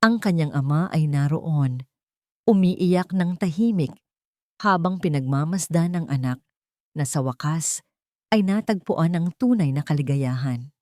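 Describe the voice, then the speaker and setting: A woman narrating a sentimental love story in measured, dramatic short phrases. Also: sits low, animated, warm tone, no room sound, clean studio sound.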